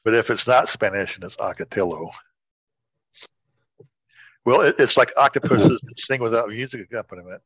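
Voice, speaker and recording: A man's voice: on the low side, moderate pace, pauses often, a bit bright, husky, dry recording. A man co-hosting a podcast with casual, dryly joking chat. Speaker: podcast co-host. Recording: close, slightly dull sound.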